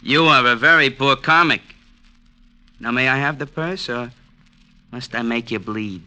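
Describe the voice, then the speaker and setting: A man's voice: mid-range voice, unhurried, pushed and bright. An actor playing a pompous, over-formal male thug in an old-time radio crime drama. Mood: menacing, polite. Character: ugly-looking male thug with pompous, prissy diction. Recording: noisy vintage radio recording.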